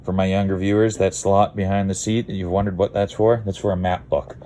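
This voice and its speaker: A man's voice: deep voice, little pitch movement, brisk, dark tone, slight background noise. A Canadian man giving a casual, rambling how-to vlog in a laid-back, blunt, digressive way. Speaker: car video creator.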